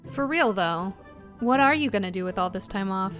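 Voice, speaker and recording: Woman acting young female, medium pitch, weary, guarded, naturalistic drama. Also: expressive, normal speed, fairly bright tone, soft background music, natural voice. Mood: uneasy, reassuring.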